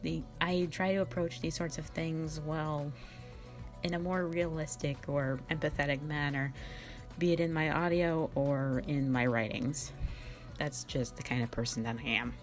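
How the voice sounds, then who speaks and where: A woman's voice: low voice, steady tone, measured pace. A woman in a casual question-and-answer style, reading out fan questions and replying in a playful, rambling, self-deprecating way. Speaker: ASMR and audio roleplay voice creator. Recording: faint music behind the voice. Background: faint background music.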